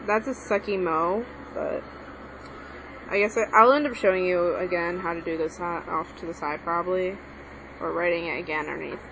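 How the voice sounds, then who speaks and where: A woman's voice: fairly low pitch, steady tone, normal speed. A young woman giving a casual lesson while she writes, rambling and correcting herself as she goes. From Mexican background. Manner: rambling, self-correcting, hesitant. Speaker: language tutorial maker. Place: home room with a TV on. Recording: lo-fi home recording with background noise.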